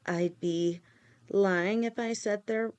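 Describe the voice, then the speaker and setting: A woman's voice: medium pitch, steady tone, slow pace, darkish tone, slight background noise, natural voice. A woman voicing a doting adult female lamia girlfriend in a listener-directed audio roleplay, teasing with pet names and stammering when flustered. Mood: cheerful, doting. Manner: stammers and rambles when nervous. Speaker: voice actor.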